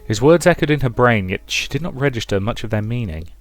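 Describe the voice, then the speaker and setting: A man reading a visual novel aloud as expressive story narration, as a let's player. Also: mid-range voice, fast talker. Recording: music behind the voice.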